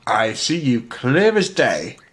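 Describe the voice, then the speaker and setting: A man playing a polite, patient and sceptical fantasy bank clerk in a comic sketch, deadpan and matter-of-fact. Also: medium pitch, slow pace. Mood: patiently sceptical.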